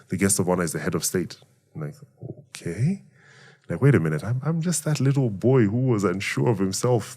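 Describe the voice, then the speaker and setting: A middle-aged man from Zambia, a voice actor giving thoughtful interview answers in a measured, reflective way. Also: low voice, darkish tone. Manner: measured, reflective, speaks of faith and gratitude. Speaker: voice actor and emcee, guest.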